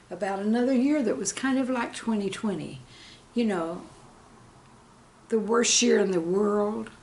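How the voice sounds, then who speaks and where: A woman reading scripted stories and verse as a channel host, with a measured, deliberate delivery. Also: mid-range voice, darkish tone, a little hiss or hum. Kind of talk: scripted storytelling and poem reading.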